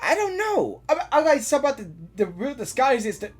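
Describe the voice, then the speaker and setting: A woman giving casual live gaming reactions, excitable and repeating herself as she thinks aloud. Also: high-pitched, fairly quick.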